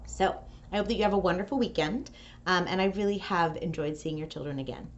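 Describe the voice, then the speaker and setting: A woman's voice: lowish voice, quick pace. Woman presenter, slightly dull noisy recording. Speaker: school staff presenter. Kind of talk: video announcements and picture-book read-aloud. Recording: dull audio with faint noise.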